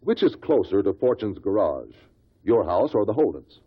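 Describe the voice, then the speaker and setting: An actor playing a shrewd, relentless investigator who questions witnesses deliberately in a 1950s radio drama. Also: male voice, lowish voice, big pitch swings, breathy edge. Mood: probing, formal. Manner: deliberate, probing courtroom questioning. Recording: muffled vintage 1950s radio recording.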